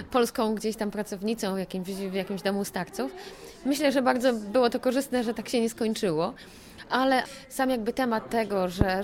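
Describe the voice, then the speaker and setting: Reflective woman with a mid-pitched voice. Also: a little mellow. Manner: reflective, hesitant with repeated words. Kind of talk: casual discussion of a performance.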